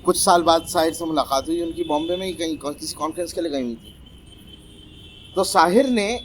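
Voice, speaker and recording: A man's voice: a little high, brisk, a little hiss or hum. A man hosting a casual chat about poetry, telling stories with animation and teasing his guests.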